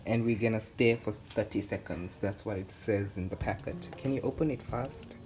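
A man's voice: fairly low pitch, normal speed. A young man hosting a cooking vlog, casually narrating each step in an instructional way and often checking in with "right?". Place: home kitchen, indoors. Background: loud music.

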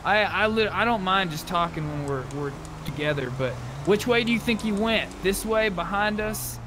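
A man's voice: sits high, lively intonation, moderate pace. A man chatting casually while playing an online roleplay game, swearing now and then. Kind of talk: casual chat while gaming. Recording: noisy game voice chat with vehicle sounds. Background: clear vehicle and game ambience noise.

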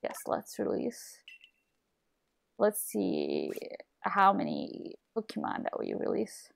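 A woman's voice: mid-range voice, wildly swooping pitch, slow pace, gravelly. A young woman from Turkey chatting casually while streaming a game, rambling with lots of fillers and restarts, recorded at a desk mic in a small room at home. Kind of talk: casual chat while gaming.

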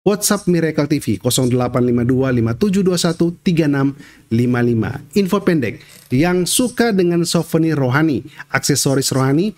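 A man's voice: mid-range voice, a little mellow. Middle-aged man hosting. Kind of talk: presenter announcements.

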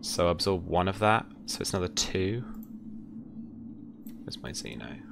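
A man's voice: deep voice, very animated, unhurried, creaky, noisy background. A young man running a tabletop game as game master, narrating and talking rules in a casual, hesitant way. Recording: music behind the voice.

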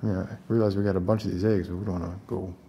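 A man in his forties playing an unstable post-apocalyptic survivor in an ASMR roleplay, coaxing softly in halting, rambling phrases. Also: low voice, little pitch movement, slight background noise, natural voice.

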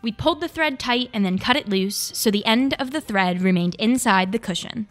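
Craft tutorial host: young female, fairly high pitch, scripted instructional read over background music. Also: lively intonation, normal speed. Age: young adult.

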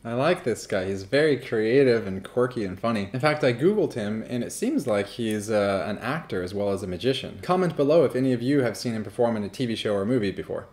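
A man's voice: mid-range voice, expressive, quick pace, hardly any pauses, darkish tone, soft background music. A man in his thirties chatting casually to viewers as he reacts to a magician's TV act, with easygoing asides.

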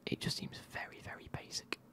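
A man, reviewing football kits in a soft, casual whisper with wry asides. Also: brisk.

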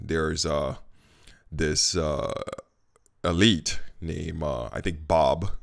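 Deep, gravelly-voiced man vlogging from home. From Haiti. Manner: conversational and candid, with frequent fillers. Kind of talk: casual talking-head vlog. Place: home kitchen, indoors. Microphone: studio mic on a stand in front of him.

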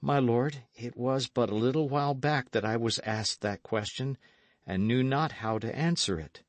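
A man voicing a bold, honest and courteous young gentleman of old England in an audiobook, speaking earnestly and formally. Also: medium pitch, moderate pace, no room sound, lightly acted. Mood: steadfast. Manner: earnest, formal archaic speech.

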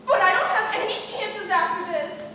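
Girl acting pushy high-pitched drama girl, echoey hall. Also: moderate pace. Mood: falsely sweet. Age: teens. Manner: falsely sweet, then loudly demanding. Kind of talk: high school stage comedy acting. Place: large theater stage, filmed from the audience. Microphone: far camera. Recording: distant, echoey auditorium, noisy.

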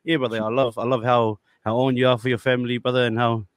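Easygoing New Zealand-born podcast host. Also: male voice, mid-range voice, flat delivery, fast, clean tone, dry recording, clean studio sound. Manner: warm, easygoing, calls guest brother. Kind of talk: casual chat. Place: home studio. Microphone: large studio mic with headphones.

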